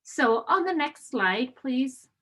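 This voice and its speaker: A woman with a Spanish accent presents an admissions webinar over a video call. Her voice sits a little high for a woman. She talks in an upbeat, welcoming way and fills her pauses with frequent "uh"s. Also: animated, a bit bright. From Spain. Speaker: assistant dean hosting an admissions webinar.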